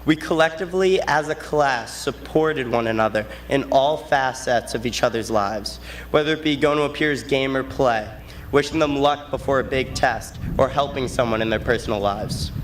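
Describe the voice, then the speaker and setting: A man's voice: mid-range voice, normal speed, slight background noise. A young man, giving a prepared graduation speech as a student. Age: late teens.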